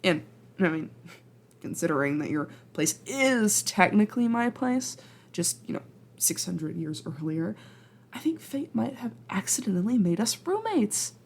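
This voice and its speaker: A woman's voice: mid-range voice, very animated, slightly rough, full character voice. Flustered, stammering young-sounding woman time traveler, acted by a woman. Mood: sheepish pleading. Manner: halting, stammering, rambling. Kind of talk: ASMR roleplay, acted monologue to the listener.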